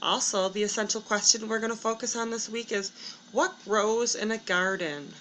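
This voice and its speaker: A woman's voice: medium pitch, moderate pace, fairly bright tone, a little hiss or hum, rough recording. A woman teacher giving a read-aloud lesson for children, moving between story narration and instruction. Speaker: elementary school teacher.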